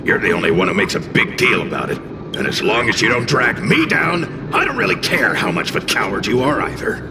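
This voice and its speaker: A gravelly, wildly animated sneering demon. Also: male voice, medium pitch, a little fast, heavy background noise. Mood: gruff, grudging. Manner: brash, sneering, theatrical. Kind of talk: video game voice acting.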